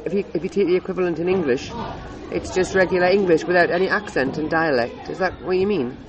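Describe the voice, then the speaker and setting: High-voiced guy double-checking things, crowd in background. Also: fast talker, rough recording. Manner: checking and clarifying points. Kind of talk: casual chat. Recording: street crowd behind.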